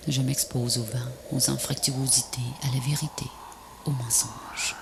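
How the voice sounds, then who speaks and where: A breathy, often near-whispered voice recites poetry in a slow, meditative way, phrase by phrase with pauses. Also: mid-range voice, steady tone, background noise. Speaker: poet.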